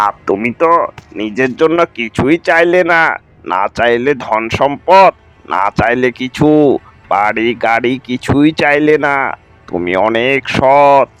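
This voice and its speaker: Man voicing a smooth-voiced magical fish. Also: mid-range voice, fairly bright tone, dry recording. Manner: obliging, full of praise. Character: grateful magical wish-granting fish, freed from a curse. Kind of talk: animated folk tale acting.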